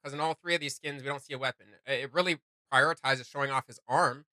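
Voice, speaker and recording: A man hosting a casual reaction video, giving gushing, slangy commentary in a halting flow. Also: medium pitch, fairly even intonation, sharp tone, dry recording, clean studio sound.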